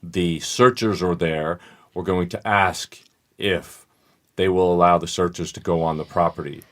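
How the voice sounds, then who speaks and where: A man co-hosting a true crime podcast, explaining details in a measured, analytical way. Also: low-pitched, fairly even intonation. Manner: measured, explanatory, thinks aloud. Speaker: podcast co-host. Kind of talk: casual analytical discussion.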